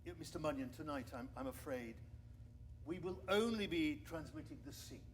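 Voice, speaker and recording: Guy playing worried sceptical 1920s captain engineer in a radio play, lively highish male voice, stammers a bit, faint noise. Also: brisk, lightly acted. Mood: hesitant, explaining. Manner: hesitant, stammering, fretful. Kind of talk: period radio drama acting.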